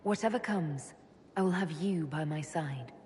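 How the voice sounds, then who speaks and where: Low-pitched, earnest elven woman. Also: a little slow, darkish tone, slight background noise. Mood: affectionate. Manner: direct, questioning, sincere. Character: earnest, resolute female elven mage leader. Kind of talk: dramatic video game dialogue.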